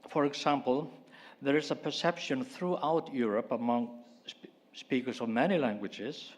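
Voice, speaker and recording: A man giving a formal, measured conference talk and pausing often. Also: medium pitch. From Iceland. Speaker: conference speaker.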